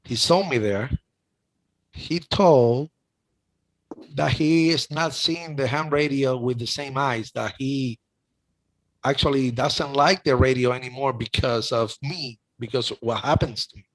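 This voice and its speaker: A man's voice: medium pitch, a little slow, rough recording. A middle-aged man with a Cuban accent hosting a casual chat, talking emotionally with many restarts. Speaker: host.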